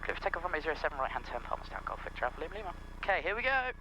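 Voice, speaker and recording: A man's voice: a little high, very animated, rapid-fire, background noise. A man speaking as a private pilot in clipped, procedural phrases over a headset in a small plane. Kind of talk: radio calls and cockpit chat. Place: small aircraft cockpit in flight. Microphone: aviation headset.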